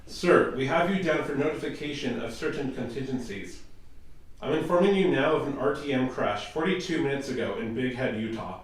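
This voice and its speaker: An actor playing a formal, matter-of-fact military officer in a radio drama, reporting in a clipped manner. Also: male voice, mid-range voice, expressive, brisk, natural voice. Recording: very echoey room, distant from mic.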